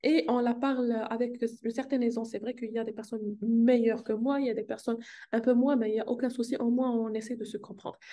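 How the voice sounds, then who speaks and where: Woman, Arabic, mellow higher voice, earnest motivational vlogger. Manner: earnest, upbeat, repeats phrases for emphasis. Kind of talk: casual motivational vlog talk. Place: hotel meeting room.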